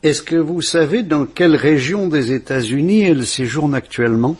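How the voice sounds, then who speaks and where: An actor playing a courteous police inspector who questions a witness in a measured, reassuring way, in a vintage French radio drama. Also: male voice, on the high side, darkish tone, husky. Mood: probing. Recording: old radio play recording.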